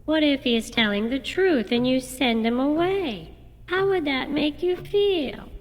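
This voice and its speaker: A nagging, soft-hearted wife in a radio comedy, acted by a woman. She is fussy and insistent, with slow, very lively intonation on the higher side. The recording has a long reverb. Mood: insistent, concerned. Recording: reverberant hall, faint background noise.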